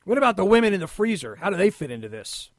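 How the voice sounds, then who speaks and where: An actor playing a skeptical, by-the-book police lieutenant in an audio drama, with a gruff, gravelly voice. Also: male voice, high voice, sing-song, very fast, no room sound, clean studio sound. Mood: puzzled, probing.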